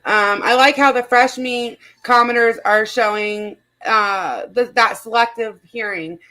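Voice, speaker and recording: High-pitched woman chatting on a livestream. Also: measured pace, sharp tone, low-quality recording. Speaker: livestream host. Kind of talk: casual group chat, reading comments aloud.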